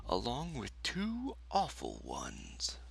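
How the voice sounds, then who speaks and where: Slow, creaky man with sweeping pitch. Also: huge pitch swings, fairly bright tone. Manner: pompous, dramatic, formal wording. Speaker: video game reviewer. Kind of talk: scripted top-five list narration. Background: music.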